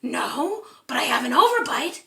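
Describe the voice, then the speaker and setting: A woman voicing a polite, earnest, unscary male monster in a lowered, breathy voice for a storybook. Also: big pitch swings, moderate pace, sharp tone, distant mic, exaggerated voice. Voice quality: low, breathy. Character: mild, bookish male substitute monster, courteous, unscary. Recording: echoey room.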